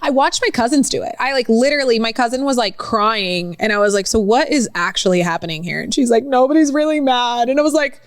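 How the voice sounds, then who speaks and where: A young woman co-hosting a podcast in casual chat, animated and quick to laugh. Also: on the high side, no echo. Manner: animated, laughs often, quotes herself in raised voice. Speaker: podcast co-host.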